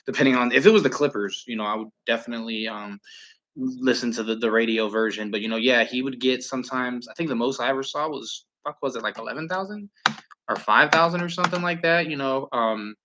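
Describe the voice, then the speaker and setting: A man's voice: medium pitch, brisk, bright, forceful tone, low-quality recording. A man from Southern California hosting a live stream, chatting casually and calling plays, rambling with lots of fillers and casual swearing. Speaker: livestream host and play-by-play commentator.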